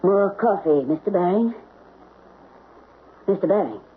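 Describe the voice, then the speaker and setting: An actor playing a nervous, evasive servant, hesitant and stammering, in an old-time radio drama. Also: male voice, sits high, expressive, unhurried. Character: nervous, evasive servant afraid of his boss. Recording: tinny, hissy old radio recording.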